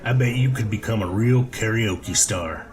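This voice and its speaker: A man's voice: on the low side, normal speed, music in the background, lightly acted. Man playing a jovial, chummy extrovert. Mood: friendly. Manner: chummy, joking. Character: happy, jovial, extroverted man chatting at a bar.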